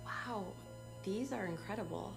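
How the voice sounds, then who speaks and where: An actress playing the caring, gentle adult daughter of an old veteran in dramatic game dialogue. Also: female voice, medium pitch, a little slow, creaky. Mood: warm, curious. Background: music.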